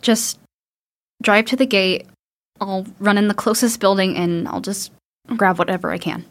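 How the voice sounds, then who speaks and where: A woman's voice: mid-range voice, steady tone, moderate pace, lightly acted. A young woman acting a determined, hopeful daughter surviving in an audio drama, earnest and insistent. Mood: hushed, determined.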